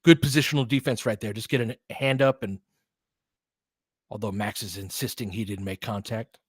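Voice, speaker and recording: A man calling basketball play-by-play with quick, excitable calls and chatty asides, on a close mic at home. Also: lowish voice, husky, dry recording. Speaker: play-by-play commentator. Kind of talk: fan-made basketball play-by-play commentary.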